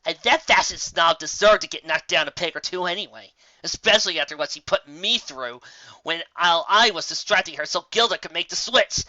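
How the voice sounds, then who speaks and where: A man's voice: high voice, brisk, bright, forceful tone, no echo, highs cut off. A man voicing a cocky, carefree young female pegasus prankster in a story, casual and flippant. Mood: smug.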